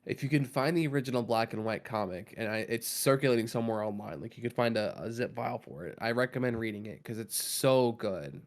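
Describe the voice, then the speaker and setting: A man with a gravelly, rough-edged voice talks casually as a podcast co-host. He goes over background at length, with plenty of ums and restarts, at a middling pitch for a man. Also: brisk, hardly any pauses.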